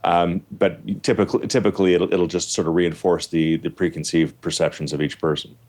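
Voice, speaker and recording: Canadian male pundit, very low voice, rapid, rambling and emphatic. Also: steady tone, darkish tone. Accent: Canadian English. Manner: rambling, many fillers and restarts, emphatic lists. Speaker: political commentator. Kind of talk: panel discussion.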